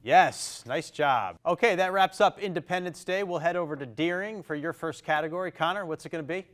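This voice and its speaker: A man with a Maine accent hosting a televised high school quiz show, reading questions briskly and reacting quickly to the answers. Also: a bit bright. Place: television studio.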